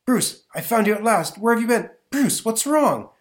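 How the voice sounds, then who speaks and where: A man voicing a panicked middle-aged professor in a comic book read-aloud, shrill and shouting. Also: lively intonation, moderate pace. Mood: alarmed.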